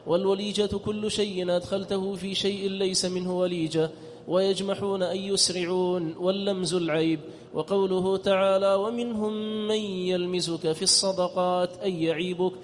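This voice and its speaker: A man's voice: high voice, flat delivery, moderate pace. A man reading a classical text aloud as a student, in a formal recitation that partly turns to chant. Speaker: student reader. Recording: echoey hall, background noise.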